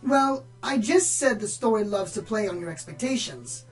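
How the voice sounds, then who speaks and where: A woman's voice: medium pitch, animated, moderate pace, faint music behind, lightly acted, rough recording. A woman playing a bookish, slightly prim pony book reviewer in a radio play, speaking formally and articulately. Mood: earnest, formal. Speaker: on-air book presenter.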